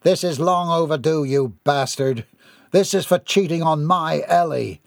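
Brief: male reader as blunt protective husband, firm, gravelly, slightly raised. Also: on the high side, unhurried, no echo, clean studio sound, pitched above the performer's own voice.

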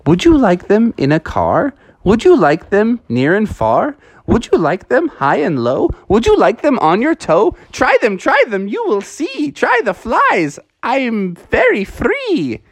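A cheerful, fussy male frog squeaks high above his teller's normal voice, bouncing through lines in sing-song fashion, as a woman puts him on for a storybook. His pitch jumps around a lot, recorded dry and close. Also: huge pitch swings, measured pace, few pauses, darkish tone, no room sound, full character voice. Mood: coaxing.

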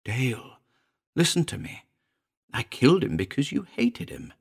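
A man voicing a small, malevolent demon familiar with a shrill, sly, insistent delivery in an audio horror story. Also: very animated, unhurried, gravelly, husky, exaggerated voice. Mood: cold, insistent.

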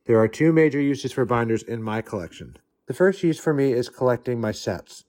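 A man giving a prepared guest segment, earnest and steady, reading from notes. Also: mid-range voice, brisk. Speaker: guest contributor. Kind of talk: prepared spoken submission.

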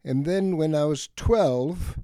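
An elderly man from Egypt and Greece, an academic guest reflecting on his life in a halting, deliberate way with many pauses. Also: a little high, mellow, no room sound, clean studio sound. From Egypt and Greece, of Greek parents. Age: late 70s or older. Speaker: interview guest, economics academic. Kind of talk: reflective autobiographical interview talk.

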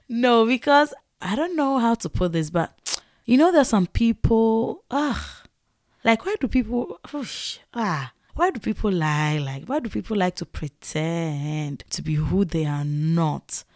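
A young woman from Ghana with a Ghanaian English accent, hosting a podcast and telling a story in a chatty, animated way with frequent laughs. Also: medium pitch, slow, no room sound, clean studio sound. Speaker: podcast host. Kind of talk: casual storytelling monologue.

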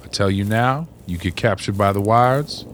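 A man's voice: lowish voice, moderate pace, a little hiss or hum, lightly acted. A man playing a hard-bitten, secretive soldier in a radio drama, talking in blunt, folksy warnings. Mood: grim, insistent.

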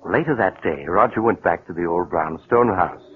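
A man's voice: sits low, moderate pace, narrow, tinny audio, soft background music. A man giving scripted narration for a 1940s radio mystery. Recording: noisy, thin vintage radio recording.

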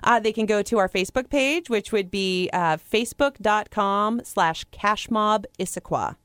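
A woman's voice: medium pitch, moderate pace, fairly bright tone, clear, resonant tone, no room sound, studio quality. A woman from Maine with a Maine accent, chatting upbeat as a guest on a radio show. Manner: upbeat, chatty, laughs easily. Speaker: guest, community organizer. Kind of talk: casual radio interview.

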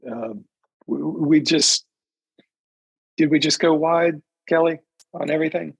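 A man with a gravelly, husky voice, chatting haltingly as a podcast guest. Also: on the high side, dry recording. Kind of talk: casual chat. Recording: dull lo-fi audio.

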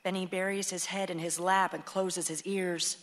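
A woman's voice: fairly low pitch, little pitch movement, normal speed. A woman narrator reading stage directions as scripted narration. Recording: background noise and ambience.

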